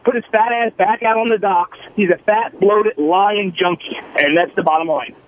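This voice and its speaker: A man's voice: sits high, moderate pace. A man calling in to a radio show, arguing bluntly for his opinion over a narrow telephone line. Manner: blunt, argumentative. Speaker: phone-in caller.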